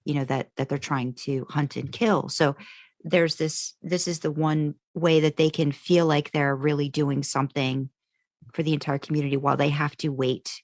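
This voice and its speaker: Low-voiced woman podcaster, dry sound. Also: fairly even intonation, normal speed, a little mellow, no room sound. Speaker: podcast co-host. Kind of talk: casual fan discussion chat.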